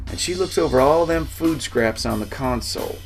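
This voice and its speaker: A man's voice: mid-range voice, lively intonation, normal speed, soft background music, rough recording. A man reading a first-person novel as a folksy cowboy storyteller, in rustic dialect. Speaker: author reading his own novel.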